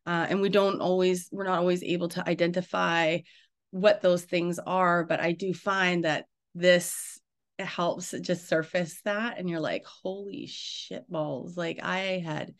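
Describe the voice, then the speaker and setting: A man hosting a casual interview, talking informally and haltingly as he thinks aloud. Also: high voice, flat delivery, fairly bright tone. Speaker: interviewer, host.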